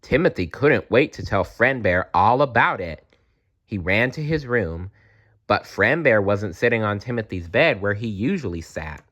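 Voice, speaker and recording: A man narrating a children's storybook aloud in an expressive read-aloud style. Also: lowish voice, normal speed, highs cut off. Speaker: storybook reader.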